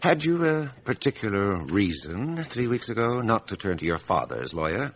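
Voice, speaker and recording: An actor playing a courteous, probing examining magistrate in a radio drama, questioning formally and deliberately with small hesitations. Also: male voice, lowish voice, big pitch swings. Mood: probing, insistent.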